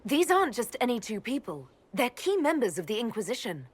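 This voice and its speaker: Lively-toned fantasy heroine. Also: female voice, fairly high pitch, fairly quick, slightly rough. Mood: composed, curious. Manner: direct, questioning. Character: composed, inquisitive heroine, a mage leading an order. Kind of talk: dramatic video game dialogue.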